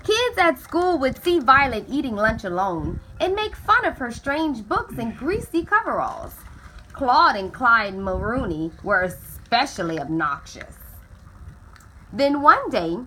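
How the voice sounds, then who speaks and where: Female storybook reader, high and very lively delivery, dramatic stretched words, some background noise. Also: measured pace, poor audio. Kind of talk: expressive children's picture book read-aloud.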